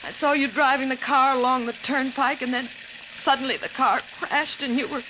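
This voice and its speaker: Woman playing worried suburban housewife, higher voice, pleading, hesitant, vintage radio drama, noisy recording. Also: steady tone, normal speed, lightly acted. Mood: anxious, pleading. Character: anxious, pleading middle-aged suburban housewife. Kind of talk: 1940s radio drama acting.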